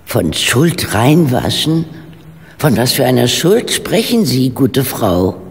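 An actress playing a resolute, proper elderly lady detective in a radio play, with a low, gravelly voice and a stern, composed, formal manner. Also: sing-song, slow, exaggerated voice. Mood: probing, firm. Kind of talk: German radio play acting. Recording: reverberant hall, faint background noise.

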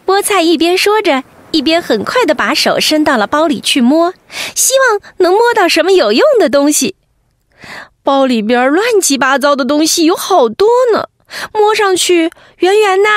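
Female children's storyteller, high-pitched, animated narration with wide swings, dry close recording. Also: normal speed, no echo. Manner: animated, addresses children directly. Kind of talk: expressive bedtime story narration.

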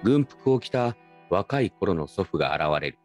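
A man's voice: deep voice, very animated, measured pace, darkish tone, faint music behind. A man giving scripted first-person narration for a radio drama.

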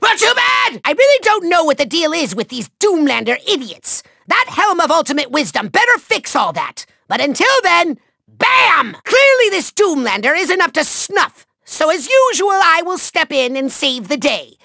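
A male actor voicing a pompous, childish little evil overlord in a video game, shrill and gravelly, grandiose, gloating and whiny. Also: sing-song, moderate pace, bright, forceful tone, no room sound, studio quality, full character voice. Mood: triumphant. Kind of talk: theatrical video game villain acting.

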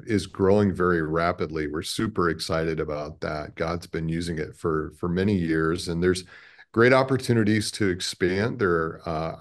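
A man in his fifties speaks in a low voice with a lot of fry in it. He answers interview questions conversationally and in a measured, hesitant way. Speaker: radio station president, interview guest. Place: small indoor office, on a video call.

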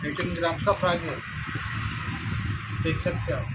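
Young man, shop owner, product showcase, high-pitched, loud background noise. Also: steady tone, moderate pace. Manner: plain, repetitive product patter. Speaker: fishing tackle shop owner. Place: small shop with shelves of boxes, indoors.

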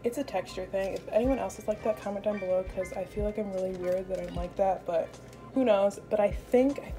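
A woman's voice: medium pitch, fairly quick, mellow. A young American woman talking casually and chattily to viewers as she narrates a vlog. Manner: chatty, informal. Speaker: vlogger. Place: indoors in a home kitchen. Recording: music playing behind the voice. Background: music.

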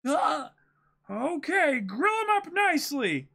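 A man voicing a cheerful, impulsive young pirate captain from a comic, with an excitable, exclaiming delivery. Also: extremely high pitch, takes it slowly, creaky, voice pushed up, exaggerated voice. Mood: eager.

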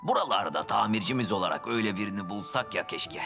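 A man's voice: lowish voice, animated, fast, gravelly. A man voicing an earnest, polite and friendly van in a children's cartoon dub, with music behind. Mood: friendly, chatty.

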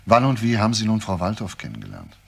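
An actor playing a dogged, probing police detective in a crime radio play, asking terse and insistent questions. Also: male voice, fairly low pitch, wildly swooping pitch, fast talker, gravelly, breathy edge, full character voice. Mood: probing, official. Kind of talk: German crime radio drama dialogue.

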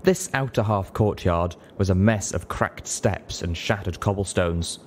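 Low-pitched man narrating a story. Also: unhurried, slight background noise. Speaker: audiobook-style reader, show host.

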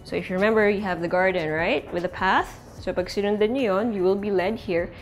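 A woman's voice: mid-range voice, fairly quick, a little hiss or hum. A young woman with a Filipino accent hosting a casual walkthrough tour of an empty house, chatty and switching languages mid-sentence. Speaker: real estate tour host. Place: indoors and outdoors in an empty house.

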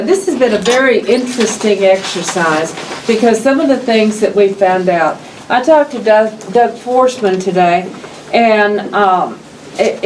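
An older woman speaks up at a county meeting, loud and plainspoken as she reads out dollar figures. She sits a little back from the table microphone, so her voice comes across from a distance. A faint hum of room noise runs underneath. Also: medium pitch. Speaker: justice of the peace. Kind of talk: public meeting remarks. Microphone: table microphone, camera across the room.

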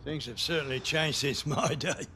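An old-fashioned grandad in a game, protective and reproving, bit of noise behind. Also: male voice, fairly high pitch, normal speed, sharp tone. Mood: wistful. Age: older.

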